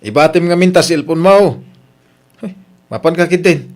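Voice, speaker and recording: A man's voice: on the high side, moderate pace, close to an everyday voice. A man with an Ilocano Filipino accent acting a plain-spoken, grumbling husband and uncle in a radio drama. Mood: pained, complaining.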